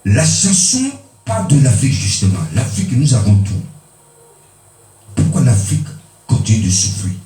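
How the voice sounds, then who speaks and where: A man from Benin preaching a sermon, emphatic, with pitch swinging high and low for stress. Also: wildly swooping pitch, normal speed, pauses often, mellow, soft background music. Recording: echoey room, mic at a distance.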